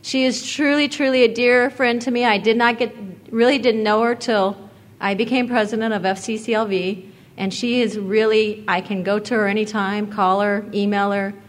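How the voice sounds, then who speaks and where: A woman's voice: mid-range voice, fairly even intonation, normal speed. A woman presiding over an award presentation, talking in an effusive, repetitive way at a podium microphone in a meeting hall. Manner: warm, effusive, repeats words.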